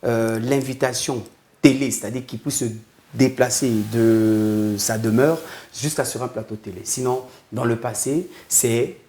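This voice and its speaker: A man's voice: medium pitch, unhurried, dark tone, a little hiss or hum. A male television journalist hosting a formal studio interview, speaking with deference and ceremony and addressing his guest with honorific titles. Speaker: TV journalist and host.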